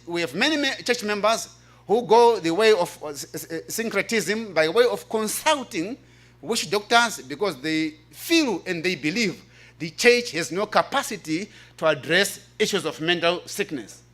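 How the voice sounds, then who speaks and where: A man with an African accent speaks in a high, forceful voice. He presents like a preacher at a conference, earnest and emphatic. He tends to repeat his key phrases to drive them home. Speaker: presenter reading a paper at a church health forum.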